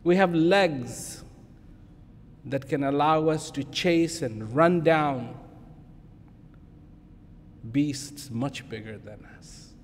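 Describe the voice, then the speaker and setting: A man playing a lazy, proud father lion, speaking slowly and grandly as the king of the jungle in a fable. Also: fairly high pitch. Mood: proud, boastful. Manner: slow, grand, boastful. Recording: echoing large hall with noise.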